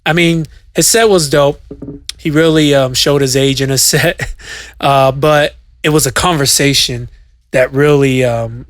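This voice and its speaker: A man's voice: medium pitch, steady tone, unhurried, a bit bright, dry recording. Man with a dry, close-mic'd sound. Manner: rambling storyteller, many fillers and restarts. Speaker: podcast co-host and DJ. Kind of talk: casual podcast chat.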